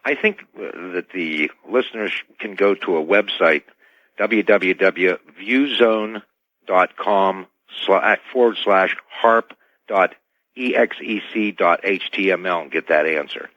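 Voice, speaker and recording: A man's voice: low voice, slow, no echo. A man as a radio interview guest, giving cautious, formally worded technical explanations over dull, band-limited audio. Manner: cautious, formal, hedging wording.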